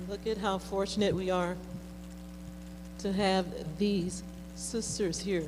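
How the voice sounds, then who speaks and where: Slow-speaking woman in an echoing hall. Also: mid-range voice, level tone. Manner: deliberate, broken into short phrases. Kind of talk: reflective address to an audience. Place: large reverberant hall at a conference. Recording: noisy reverberant hall with hum.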